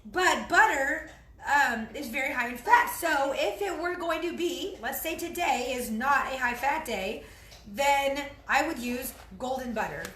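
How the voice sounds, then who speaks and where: A hoarse woman with a high, bright voice chats loosely through a home cooking video as a fitness trainer. She rambles and clears her throat now and then, and the kitchen sounds echoey around her. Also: lively intonation, unhurried, slight background noise. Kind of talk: casual cooking vlog to followers.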